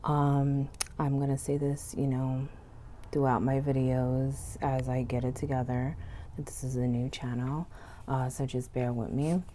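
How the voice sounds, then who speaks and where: Very low-voiced hoarse woman reading tarot. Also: a little slow. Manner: relaxed, chatty, frequent "okay?" and "you know". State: stuffy and hoarse from illness. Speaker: tarot reader. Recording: faint background noise.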